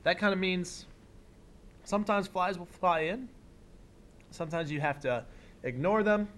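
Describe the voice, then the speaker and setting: A man teaching young children, reading a picture book aloud expressively and pausing for simple explanatory asides. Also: sits high, a bit bright. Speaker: elementary school teacher.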